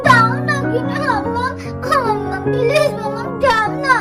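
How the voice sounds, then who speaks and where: A woman's voice: very high-pitched, measured pace, over loud music. A woman voicing an eager, stubborn little girl doll in a cartoon story, childish and wheedling, repeating her words. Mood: pleading, insistent.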